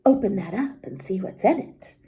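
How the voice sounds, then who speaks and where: A woman's voice: mid-range voice, animated, moderate pace, dark tone, lightly acted. A woman voicing a crafty, cheerful poor farmer in a children's storybook, with a casual, sly manner. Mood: sly, playful.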